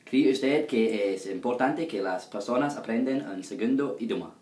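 A young man reading set interview questions in a plain, even way, recorded in an echoey room. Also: mid-range voice, normal speed. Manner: reads set questions, brief thanks. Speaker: student interviewer. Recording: echoey room, faint noise.

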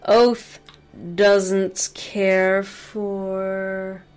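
A woman's voice: mid-range voice, level tone, drawn-out words, faint music behind. A woman in her thirties from the United States, co-hosting a casual game stream with blunt, sarcastic, sweary chat at a desk mic. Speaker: co-host. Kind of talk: casual let's-play chat.

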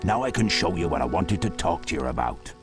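An actor playing a burly, warm-hearted giant groundskeeper in a video game, with a gruff, hearty voice. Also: male voice, low voice, very animated, a little fast, over music. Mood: welcoming. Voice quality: gruff, gravelly. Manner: hearty, rustic, fond.

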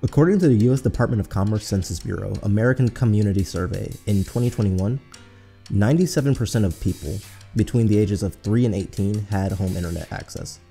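A man's voice: on the low side, fast, vocal fry, dark tone. A man co-hosting a scripted video essay, speaking in an earnest, explanatory way. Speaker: co-host of a channel. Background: background music.

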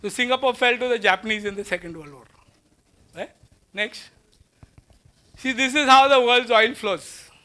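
A man with an Indian English accent lectures a packed auditorium through a lapel mic. His voice sits unusually high and rings bright. He keeps the talk conversational, firing rhetorical questions at his students. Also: moderate pace, long pauses. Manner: walks the stage gesturing while speaking. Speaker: guest lecturer. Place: large auditorium with seated students.

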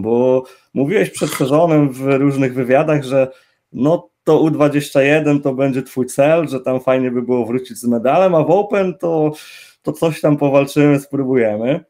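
A man's voice: medium pitch, a little mellow. A young man hosting an interview over a video call with a headset, asking long, winding questions with fillers. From Poland. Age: young adult. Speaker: interview host. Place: indoor room with gaming chair.

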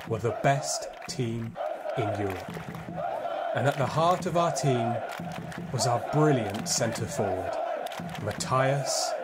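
An actor playing a nostalgic, proud and wry Austrian football fan, telling his story theatrically in an audio play. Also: mid-range voice. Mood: proud, emphatic. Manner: theatrical, emphatic, with weighty pauses. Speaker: narrator. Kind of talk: dramatic first-person storytelling in an audio play. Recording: music and crowd noise behind.

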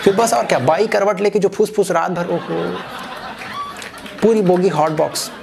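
A young man doing a stand-up comedy set on a small stage, telling an animated story and acting out other people's voices. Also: high voice, moderate pace, gravelly, crowd noise, background noise. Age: young adult. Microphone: handheld mic. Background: audience laughter.

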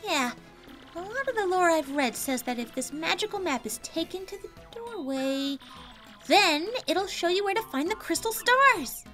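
A woman's voice: very high-pitched, expressive, normal speed, a bit bright, soft background music. A woman voicing a perky, brainy, sassy young female game creature, with emphatic valley-girl phrasing. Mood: eager.

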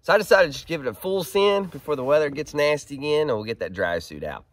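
A man in his thirties with a hoarse, froggy voice from a head cold, chatting casually as he fishes from a kayak on a creek, filmed by a pole-mounted camera. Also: fairly high pitch, fast talker. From Arkansas. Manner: chatty and upbeat, excited while reeling in fish. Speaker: fishing vlogger. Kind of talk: casual outdoor vlog. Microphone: action camera on a pole mount.

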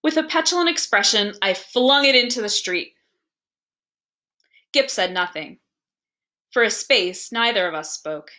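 A woman reading a literary story aloud with expressive, dramatic and deliberate phrasing. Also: a little high.

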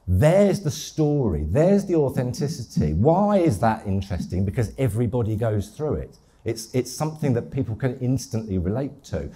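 Fifties guy talking marketing on a handheld mic, low dark husky voice, small crowd room. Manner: lively, chatty, repeats words as he goes. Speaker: conference speaker. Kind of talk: live marketing talk. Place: dim event venue with small audience.

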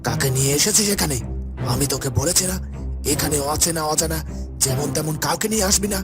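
A man playing a suspicious, imperious shady boss in a cartoon, with a gravelly voice and haughty, challenging manner. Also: on the high side, brisk, lightly acted. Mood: scolding. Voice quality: gravelly, creaky. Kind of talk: animated cartoon dubbing. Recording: music behind the voice.

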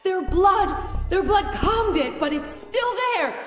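A woman's voice: extremely high pitch, expressive, slow, soft background music, full character voice. A woman playing a deranged, bloodthirsty killer in a horror audio drama, with an unhinged manner. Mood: desperate. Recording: roomy, distant from the mic.

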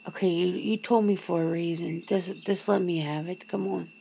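A woman acts out a wry, guarded lover in an intimate ASMR roleplay. Her voice is mellow and breathy, with a lot of fry, often falling to a whisper. She talks casually and haltingly over noticeable background noise. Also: lowish voice, steady tone. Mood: wry, wary. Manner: hesitant, sardonic, casual, sighing. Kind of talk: ASMR roleplay, half-whispered one-sided dialogue.

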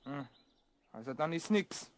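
An actress playing a blunt, grumbling, folksy chestnut seller with a Viennese accent in a radio play. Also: female voice, low voice, lively intonation, unhurried. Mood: matter-of-fact.

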